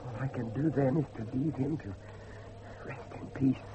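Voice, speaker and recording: An actor playing an anguished middle-aged father and judge, intense and halting, in a horror audio drama. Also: medium pitch, natural voice. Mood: sorrowful. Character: anguished older father, a judge hunting his lost son. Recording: muffled lo-fi recording with faint noise.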